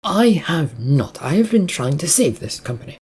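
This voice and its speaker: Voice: mid-range voice, very animated, normal speed, a little mellow, breathy edge. Curt, imperious female CEO, as a reader puts her on. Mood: cold, defensive. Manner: curt, commanding, formal. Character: imperious, angry female CEO, evasive and threatening.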